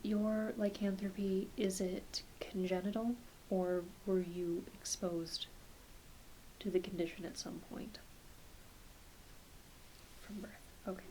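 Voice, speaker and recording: Soft-spoken, whispering woman over audible hiss. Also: mid-range voice, flat delivery, takes it slowly, pauses often, poor audio. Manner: gentle, reassuring, hesitant questioning. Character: kindly, attentive doctor examining a patient. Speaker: ASMR roleplayer playing a doctor. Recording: hissy close home recording.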